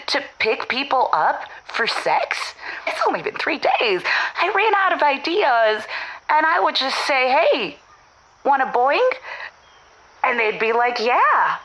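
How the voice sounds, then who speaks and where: A frazzled, defiant wife phoning a radio call-in comedy. She rambles breathlessly and excitably, with a bright, forceful voice that swings widely in pitch. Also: takes it slowly, full character voice, rough recording. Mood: manic, defiant. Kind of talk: comedic audio drama of a call-in show.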